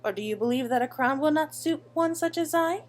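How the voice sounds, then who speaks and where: A woman's voice: high-pitched, a little fast, faint music behind, voice pushed up, lightly acted. A woman voicing a dainty, playful young noble lady in a video game, with formal, genteel manners. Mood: polite, eager.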